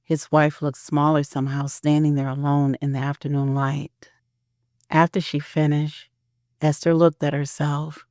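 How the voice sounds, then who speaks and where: A woman with a very low voice narrates a scripted audio drama. The recording is very dry and close, with no room around her. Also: level tone, measured pace, a little mellow, no echo.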